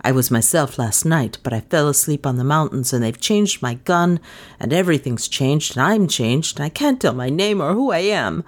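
Lady doing a sad confused old guy from a storybook, pitched down low, plaintive. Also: expressive, moderate pace. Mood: bewildered despair. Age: older. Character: bewildered old man, despairing and forlorn.

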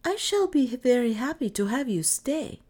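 A woman voicing a weary, kind mother in a storybook, speaking gently and wonderingly. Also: high-pitched, very animated, moderate pace, pitched above the performer's own voice. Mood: gentle. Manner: gentle, wondering, soft-spoken. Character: tired, kind, puzzled poor mother.

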